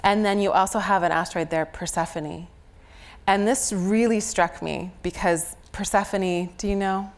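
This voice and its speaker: A woman's voice: medium pitch, steady tone, moderate pace. A woman with a slight head cold, speaking conversationally on stage as an astrologer explaining a chart reading. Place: on stage at a live venue.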